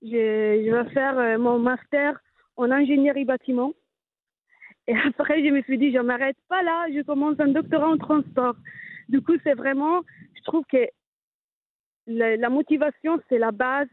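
A woman's voice: high-pitched, normal speed, no echo. Italian-accented woman on a tinny line. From Italy. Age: 30s. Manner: hesitant, many fillers and restarts. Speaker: guest. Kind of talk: casual interview talk.

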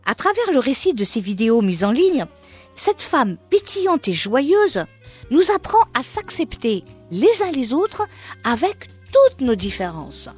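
Woman host, expressive presenter, breathy voice, animated pitch, fairly high. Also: measured pace, faint music behind. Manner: lively, expressive presenter delivery. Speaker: interviewer, host. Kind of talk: scripted intro and interview questions.

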